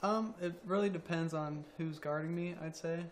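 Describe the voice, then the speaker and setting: A young man around twenty, a college student athlete, answering interview questions quietly with many ums. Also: fairly high pitch, moderate pace, slight background noise. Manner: quiet, soft-spoken, hesitant with ums.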